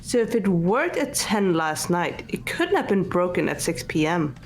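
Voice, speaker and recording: A woman's voice: lowish voice, very animated, normal speed, soft background music. Woman acting cool, blunt teenage girl detective. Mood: analytical. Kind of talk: amateur visual novel voice acting.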